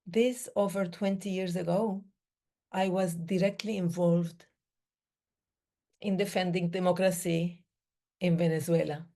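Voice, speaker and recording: A woman born in the Middle East, giving a reflective talk on breathing in slow, measured phrases with pauses between them. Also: lowish voice, fairly even intonation, dark tone. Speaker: breathing day speaker.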